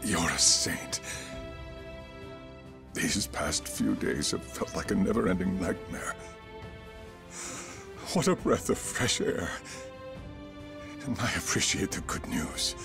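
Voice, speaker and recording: An actor playing a courteous, concerned school chairman in a video game dub, with formal, expressive phrasing. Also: sits low, takes it slowly, vocal fry, pauses often, exaggerated voice. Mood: relieved, grateful. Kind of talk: English game dub dialogue. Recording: music behind the voice.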